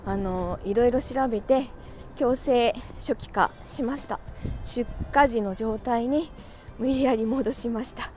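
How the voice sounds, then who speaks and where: A woman chatting casually on her own like a morning podcast host, talkative and quick to laugh. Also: fairly high pitch, darkish tone, slight background noise. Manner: chatty, laughs easily while talking. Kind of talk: casual solo morning chat.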